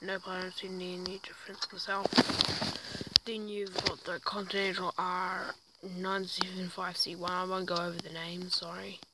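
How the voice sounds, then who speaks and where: A woman with a croaky voice from a sore throat gives chatty, self-deprecating gameplay commentary while reviewing a tank in a video game, often stumbling over her words. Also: lowish voice, monotone, normal speed. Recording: loud background noise with clicks.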